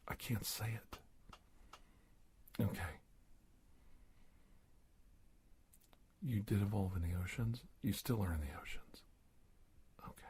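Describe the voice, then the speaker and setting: A man playing a courteous, startled investigator doctor in an ASMR roleplay, speaking in a hushed, breathy, stammering way. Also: sits low, full character voice. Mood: curious, apologetic. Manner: halting, stammering, formal and courteous. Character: startled, polite investigator doctor facing an alien. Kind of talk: ASMR roleplay monologue, soft-spoken and whispery.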